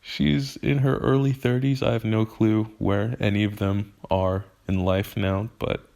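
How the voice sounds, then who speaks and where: Slow, low-voiced young man venting. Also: level tone, gravelly, darkish tone. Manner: halting and emotional, with frequent restarts. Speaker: vlogger. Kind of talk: personal venting monologue.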